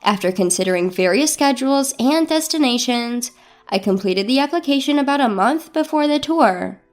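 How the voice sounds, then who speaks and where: A woman's voice: high voice, lively intonation, moderate pace, soft background music. A woman reading a scripted first-person story as its narrator.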